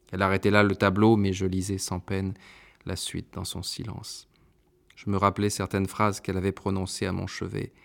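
A man narrating a first-person novel in a measured, introspective way. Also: sits low, vocal fry. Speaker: audiobook narrator.

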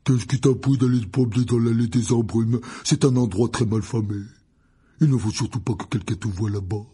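A man voicing a gruff, kindly giant in an audiobook, with a husky growl and a blunt, grumbling, familiar manner. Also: mid-range voice, fairly quick, mellow. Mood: gruff scolding.

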